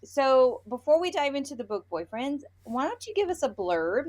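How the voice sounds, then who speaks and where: A woman's voice: high-pitched, big pitch swings, normal speed, a little mellow, no room sound. A woman co-hosting a podcast, chatting casually about books in a playful, informal, gushing way. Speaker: podcast co-host. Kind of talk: casual book review chat.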